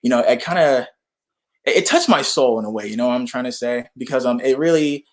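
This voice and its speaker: A young man chatting casually as a guest, often repeating himself and searching for words. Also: medium pitch. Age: young adult. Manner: halting, repetitive, searching for words. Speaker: guest, actor in a student radio play. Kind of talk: casual interview chat.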